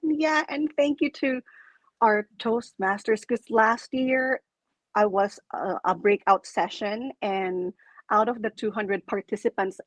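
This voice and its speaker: A woman's voice: mid-range voice, unhurried, dry recording. A woman with a Filipino accent, an interview guest and coach, talking in a chatty, enthusiastic way on a video call. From Philippines.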